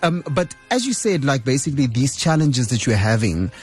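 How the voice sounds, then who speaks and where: An enthusiastic South African man hosts a radio interview from the studio, his pitch middling and his talk upbeat and slangy. A faint bed of music sits behind him. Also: big pitch swings, normal speed, slightly rough. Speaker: radio host.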